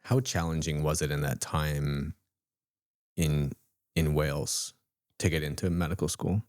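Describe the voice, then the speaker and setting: A man's voice: rumbling low, steady tone, unhurried, a little mellow, no room sound, studio quality. A man hosting an interview and asking short, prompting questions. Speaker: podcast host.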